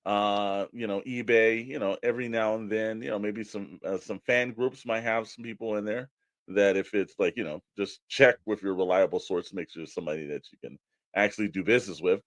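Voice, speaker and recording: A man chats as a podcast co-host in a loose, rambling style, handing out informal advice. His voice sits on the low side. The recording is dry, with hardly any room sound. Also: no room sound. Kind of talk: casual podcast chat.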